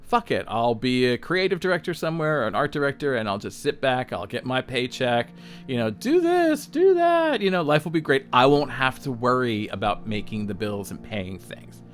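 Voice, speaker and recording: A man's voice: medium pitch, big pitch swings, normal speed, soft background music. A man in his sixties talking casually and bluntly, swearing freely, mocking himself and checking in with the listener. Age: 60s. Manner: blunt, profane, self-mocking, lots of "um" and "all right?". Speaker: podcast host, self-employed designer. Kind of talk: unscripted solo talk.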